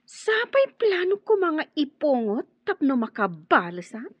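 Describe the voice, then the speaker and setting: A vengeful young Filipino villainess in a radio drama, theatrical and mocking. She talks slowly in a high, swooping voice that drips with spite. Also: big pitch swings, dry recording, dull audio. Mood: spiteful, plotting. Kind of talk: Ilocano radio drama acting.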